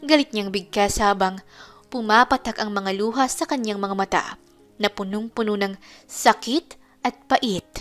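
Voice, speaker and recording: A woman's voice: medium pitch, measured pace, fairly bright tone, soft background music. A woman giving a dramatic scripted narration of a romance story.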